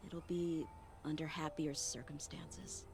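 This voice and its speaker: A woman's voice: sits low, steady tone, a little slow, a little mellow, a little hiss or hum. An actress playing an earnest, unsure young female sword knight who speaks politely in a video game. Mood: earnest, uncertain. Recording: game music behind the voice.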